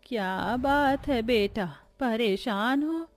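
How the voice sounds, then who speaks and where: A woman playing a poor, kind-hearted old village grandmother in a cartoon story, with gentle, drawn-out speech. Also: fairly high pitch, faint music behind. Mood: kind, concerned.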